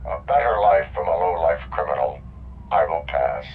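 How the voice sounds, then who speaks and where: An actor playing a stoic, unyielding cyborg policeman in a video game, with clipped, deadpan, robotic delivery. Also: very deep voice, moderate pace, narrow, tinny audio, a little hiss or hum. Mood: cold demanding. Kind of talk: video game cutscene acting.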